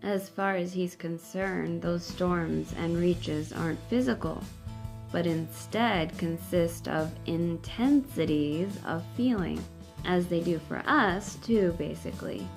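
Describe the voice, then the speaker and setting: A middle-aged woman reads a book aloud in a measured, deliberate way, as in an audiobook. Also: on the low side, creaky, a little mellow. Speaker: reader of a book for online videos. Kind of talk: unhurried read-aloud narration of a book. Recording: music behind the voice. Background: faint background music.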